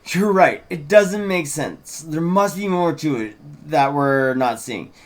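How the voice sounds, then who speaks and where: A man's voice: a little high, animated, slow pace, pitched above the performer's own voice. A man reading the part of a supportive, hopeful teenage girl in a fantasy script, earnest and encouraging. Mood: reassuring.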